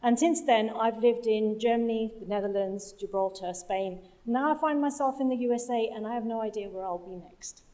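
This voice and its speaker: Higher-voiced woman giving a talk. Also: moderate pace, hardly any pauses, warm tone. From London, UK. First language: Punjabi. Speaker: conference speaker, project manager. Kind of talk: conference presentation. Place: conference hall stage.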